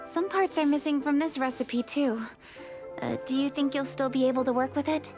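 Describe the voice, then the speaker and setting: High, poised young noblewoman. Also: normal speed. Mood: composed, apologetic. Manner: courteous, composed, precise. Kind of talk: video game character acting. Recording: light music behind.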